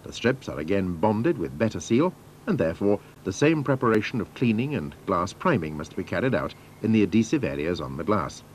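A man's voice: lowish voice, very animated, fairly quick, slight background noise. A man narrating scripted instructions for a training film in a formal, methodical manner.

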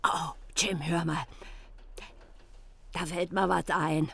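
A woman's voice: on the low side, steady tone, slow pace, gravelly, a bit bright, breathy edge, heavily acted. An actress playing a shrewd, bossy working-class wife and mother with a Berlin accent in a German audio drama, blunt and colloquial. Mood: scheming.

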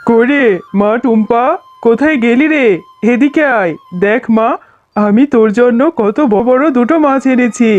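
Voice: mid-range voice, normal speed, darkish tone, close to an everyday voice. An actor voicing a poor, doting village father fox in a children's cartoon. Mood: fond, eager. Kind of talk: Bengali children's cartoon dialogue. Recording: muffled, narrow-band sound with music.

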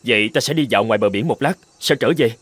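A man's voice: mid-range voice, fast talker, no room sound, lightly acted. A man voicing an earnest captive island chieftain in a cartoon fairy tale, protesting and pleading. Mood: pleading, subdued. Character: brave adult male island chieftain held captive.

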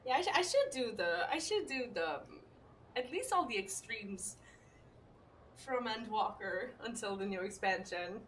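Young Serbian woman streamer, bright and chatty, giggles often, talks to her dog between game lines. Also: mid-range voice, animated, a little slow, distant mic, a little hiss or hum. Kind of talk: casual live-stream chat while gaming. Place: home streaming room.

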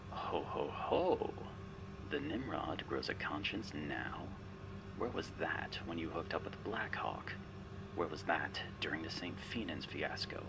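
A man voicing a vengeful, coldly manipulative handler in a whispery, gravelly ASMR roleplay, taunting and deliberate. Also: very deep voice, heavily acted, low-quality recording. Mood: mocking, menacing. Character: menacing, vengeful male infiltration handler, mocking and coldly manipulative. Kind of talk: ASMR roleplay, scripted one-sided drama.

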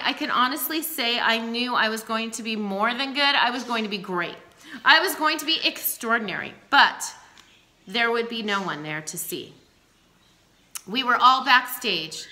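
A woman in her forties reads a novel aloud in a small room at home, close to a webcam. Her voice is bright and fairly high, with lively rises and falls as she acts out each line. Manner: expressive, acts out the dialogue. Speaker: reader. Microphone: webcam at close range.

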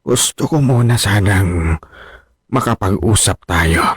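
Slow-talking, weary father. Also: on the low side, expressive, breathy edge, no echo, studio quality, full character voice. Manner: halting, weary, imploring. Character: ailing, pleading older Filipino father. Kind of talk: Filipino radio drama acting.

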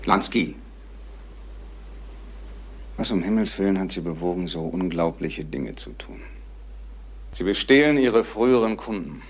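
An actor playing a stern, insistent businessman in a crime radio play, with a measured, pressing manner. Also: sits low, lively intonation, a bit bright, slightly rough, exaggerated voice. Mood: grave, probing. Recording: noisy old radio play recording.

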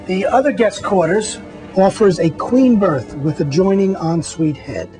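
A man's voice: sits high, lively intonation, unhurried, mellow, soft background music, low-quality recording. A man, a magazine editor, walking viewers through a boat and pointing out its features one by one in an upbeat tour narration.

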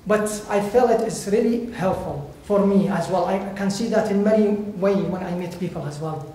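Man, Iraqi Arabic accent, high-pitched, searching for words as he addresses an audience; heavy echo. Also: warm tone. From Iraq. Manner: halting, searching for words in non-native English. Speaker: guest speaker, volunteer. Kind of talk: personal testimony to an audience. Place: bright indoor hall, at a lectern before a seated audience. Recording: very echoey hall, faint background noise.

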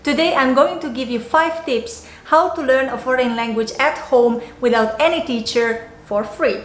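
A woman's voice: high voice, moderate pace. Young Hungarian-accented woman, roomy sound. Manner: earnest, explaining step by step, slight non-native phrasing. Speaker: YouTuber. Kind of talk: casual to-camera tips vlog. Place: small home office indoors. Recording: roomy home office, faint music.

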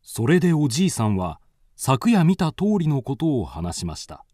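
A man's voice: mid-range voice, sing-song, moderate pace, dark tone, no echo, clean studio sound. A man narrating a scripted ghost tale as the storyteller of a radio drama.

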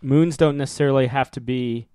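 Dude rambling about moons n orbits on a podcast, swears a lot, dry mic. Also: male voice, medium pitch, a little fast, smooth, no echo. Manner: animated, swears freely, laughs often. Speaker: podcast co-host. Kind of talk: casual film commentary.

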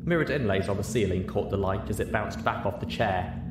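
A man narrating a story in an expressive audiobook style. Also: on the low side, brisk, background noise.

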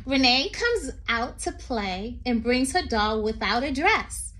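A middle-aged woman reading a poem aloud to young children like a teacher, expressive and sing-song, with chatty asides. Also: fairly high pitch, slow. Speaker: teacher reading to her class. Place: small room indoors. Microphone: phone at arm's length.

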